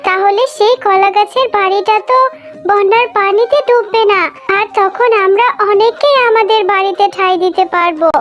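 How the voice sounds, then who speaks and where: A woman's voice: very high voice, fairly even intonation, moderate pace. A woman voicing a caring, earnest young jackal wife in a cartoon. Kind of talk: cartoon dialogue.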